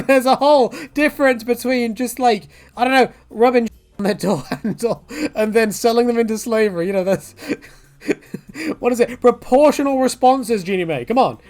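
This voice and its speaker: A man's voice: very high voice, a little mellow. A man giving casual, rambling opinion commentary in a jokey, conversational manner, often slipping into mock quotes. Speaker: video creator giving commentary.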